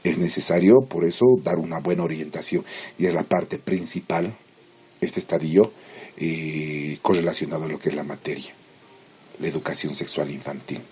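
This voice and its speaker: A man lecturing in Spanish as a teacher, explaining theory informally in halting, didactic phrases with check-in questions. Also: rumbling low, level tone, a little mellow, slight background noise. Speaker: psychology lecturer.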